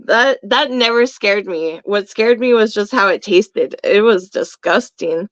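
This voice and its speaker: A woman's voice: mid-range voice, unhurried, dry recording, highs cut off. A middle-aged woman chatting casually as a co-host, telling stories and laughing easily. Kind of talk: casual chat.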